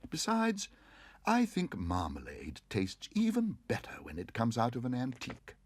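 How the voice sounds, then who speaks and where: A man voicing a small, polite, excitable young bear in a storybook reading. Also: measured pace, slightly rough, no room sound, exaggerated voice. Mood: happy. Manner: excited high shouted bids.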